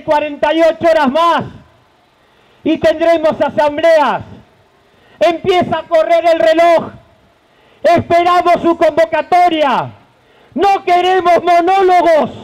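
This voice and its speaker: A man's voice: very high-pitched, low-quality recording. A man in his forties with an Argentine accent, a union leader giving an impassioned protest speech outdoors into a handheld mic. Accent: Argentine Spanish. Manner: impassioned, shouting at times, gesturing. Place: outdoor stage under a tent at a rally.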